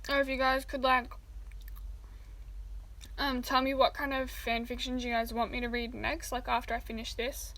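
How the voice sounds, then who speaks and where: A woman with a high voice reads fan fiction aloud at home and drifts into rambling chat full of fillers. She talks while eating. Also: level tone, normal speed, long pauses, pushed and bright.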